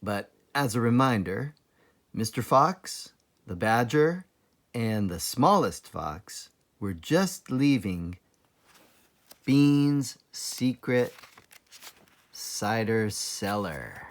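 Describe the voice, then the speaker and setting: Slow, animated man reading aloud. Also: mid-range voice. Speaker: teacher librarian reading aloud. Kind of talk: children's storybook read-aloud.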